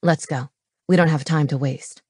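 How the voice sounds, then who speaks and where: Woman voicing a low, gruff, brainy man. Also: fairly even intonation, normal speed, mellow, no echo, clean studio sound. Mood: urgent, decisive. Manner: terse, matter-of-fact. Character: gruff, brainy comic-book-fan man, terse and intense. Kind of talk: audiobook character dialogue.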